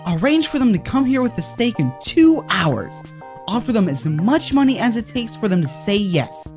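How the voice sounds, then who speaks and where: A woman's voice: a little high, big pitch swings, normal speed, a little mellow, faint music behind. A woman voicing a scheming, sinister fixer who gives orders in a bossy, theatrical way in an audio horror comedy. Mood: commanding, scheming. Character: scheming, commanding, sinister fixer named Fred.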